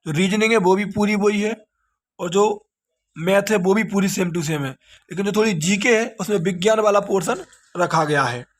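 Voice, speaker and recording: A man's voice: sits high, slight background noise. A man speaks like a coaching teacher. He explains in an informal lecture style, going back over key points and posing short questions that he answers himself. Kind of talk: informal lecture on exam details.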